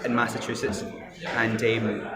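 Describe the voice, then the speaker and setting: A man's voice: fairly low pitch, completely flat delivery, a little slow, music in the background. A man talking in a casual, rambling conversation, often trailing into laughter.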